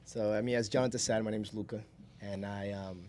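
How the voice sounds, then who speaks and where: A young man with a fairly low voice tells stories as a podcast guest. He talks informally and wanders between thoughts. There is faint noise behind him. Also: fairly even intonation, a little fast, darkish tone. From Brazil. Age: 20s. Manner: informal, rambling, chuckles while talking. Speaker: podcast guest, solar salesman. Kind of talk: casual storytelling in an interview.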